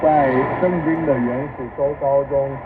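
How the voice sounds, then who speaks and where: Man, religious radio broadcaster, Bible talk, phone-thin shortwave sound, loud static. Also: mid-range voice, steady tone, unhurried. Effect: shortwave radio reception. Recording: narrow, tinny shortwave signal, loud static.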